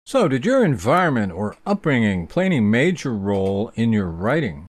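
A man interviewing an author, asking his questions with a lively, questioning lilt. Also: mid-range voice, normal speed, no room sound.

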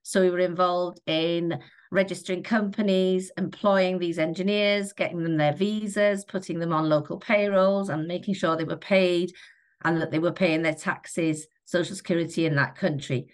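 Woman, middle-aged, steady businesslike podcast guest. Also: on the low side, normal speed. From North Wales. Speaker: guest, company chief executive. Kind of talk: podcast conversation.

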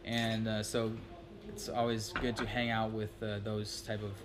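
A man's voice: on the low side, monotone, normal speed. Chatty man vlogger over background noise. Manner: loose, chatty, rambling. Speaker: vlogger host. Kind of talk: casual chat to camera. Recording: noisy ambient background.

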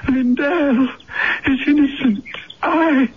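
An actor playing a sick, desperate boarder in a radio drama, strained and weak, halting and pleading. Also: male voice, extremely high pitch, a little hiss or hum. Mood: desperate, confessing. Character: sick, desperate male boarder with a guilty secret. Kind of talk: 1970s radio drama acting. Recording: dull, band-limited old radio broadcast.

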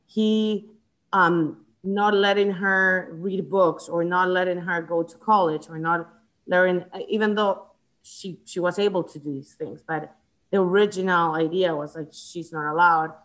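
Woman from Chile, book discussion, lowish pitch; hesitant, restarts a lot, fillers throughout. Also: steady tone, measured pace, fairly bright tone, low-quality recording. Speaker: book club member.

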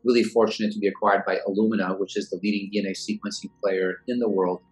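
A man in his forties, originally from Iran, answering interview questions in a measured, thoughtful way with many fillers. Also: fairly low pitch, little pitch movement, poor audio. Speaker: guest, venture investor.

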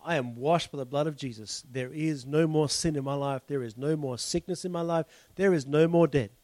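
A man's voice: a little high, brisk, a little mellow, no echo. A male pastor leading communion, preaching in a fervent, declarative way with lots of repetition.

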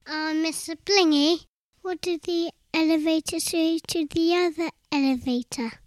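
A woman puts on an eager young fellow from a children's storybook, a perky museum guide. The voice is extremely high and deliberately paced, recorded dry and close. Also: fairly even intonation, dry recording. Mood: playful.

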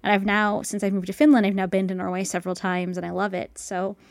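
Chatty storyteller with dry close sound. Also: female voice, medium pitch, level tone, fast, creaky, no echo. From United States. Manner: chatty, storytelling with many fillers. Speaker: guest. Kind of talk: casual podcast chat.

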